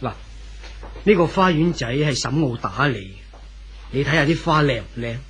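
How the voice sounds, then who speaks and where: A man playing a kindly, talkative old church pastor in a 1970s radio drama, with a Hong Kong Cantonese accent and a gentle, unhurried manner. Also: mid-range voice, lively intonation, slight background noise, natural voice. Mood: kindly, reflective. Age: older.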